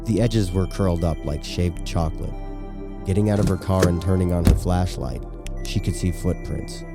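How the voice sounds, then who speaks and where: Male narrator, low-pitched, scripted horror storytelling over clearly audible music. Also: normal speed.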